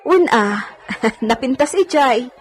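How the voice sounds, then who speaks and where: Sharp-tongued, exasperated housemaid. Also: female voice, sits high, animated, slow pace, full character voice. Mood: casual. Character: sharp-tongued adult housemaid, exasperated with her drinking companion. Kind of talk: radio drama acting.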